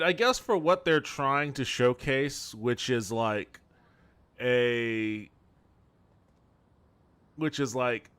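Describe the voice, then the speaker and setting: A man's voice: medium pitch, a bit bright, clean tone. A man streaming a game with casual commentary, rambling and bluntly critical as he goes. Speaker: game streamer and podcast host.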